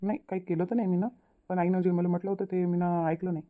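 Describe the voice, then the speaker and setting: A woman's voice: on the low side, flat delivery, quick pace, lightly acted. A woman voicing an apologetic young newlywed son in a cartoon family drama, pleading and explaining himself in a Vidarbha Marathi accent. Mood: defensive, apologetic. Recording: tinny phone-like narrow audio.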